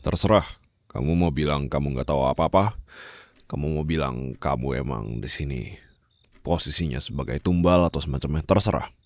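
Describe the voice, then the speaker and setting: Man acting as a very deep, gravelly mafia boss. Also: a little fast, no room sound, clean studio sound. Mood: stern, accusing. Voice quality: very low, gravelly, husky. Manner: formal, condescending, controlled, mockingly sweet. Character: cold, menacing male mafia boss taunting his captive. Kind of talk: ASMR roleplay acting, addressed to the listener. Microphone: close studio-style microphone.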